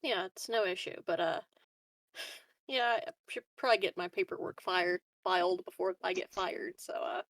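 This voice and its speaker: A woman's voice: fairly low pitch, normal speed, fairly bright tone, dry recording. A woman playing a tabletop roleplaying game, chatting casually in character and trailing off hesitantly.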